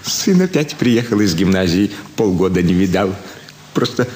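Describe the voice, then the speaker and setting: A man's voice: deep voice, normal speed, a little hiss or hum. An actor playing a fawning elderly provincial landowner and doting father, effusive and sentimental in a classic stage radio play. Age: older. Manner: effusive, sentimental, flattering with many sighs. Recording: roomy stage hall with faint ambience.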